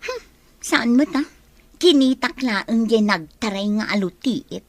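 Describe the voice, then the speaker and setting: A woman's voice: on the high side, lively intonation, unhurried. A woman with an Ilocano accent playing a wistful, jealous office secretary in a radio drama, pouty and defensive.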